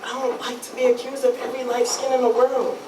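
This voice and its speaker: A man's voice: extremely high pitch, level tone, quick pace. Man acting coaxing Southern sweetheart, roomy sound. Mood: hurt, coaxing. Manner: coaxing Southern folk dialect. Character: smooth-talking, placating male sweetheart, easily hurt. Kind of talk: staged play reading. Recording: roomy, distant mic, noisy.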